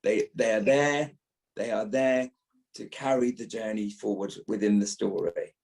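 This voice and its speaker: A man's voice: fairly low pitch, steady tone, a little slow, creaky, a little mellow, poor audio. A man in his fifties speaking as an actor being interviewed, reflective, stressing points by repeating phrases. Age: 50s.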